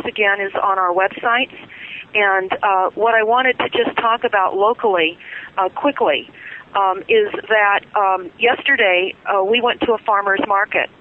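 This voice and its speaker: A woman guest speaking urgently and insistently over the phone on a radio talk show, with frequent filler ums. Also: medium pitch. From California. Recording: tinny phone line.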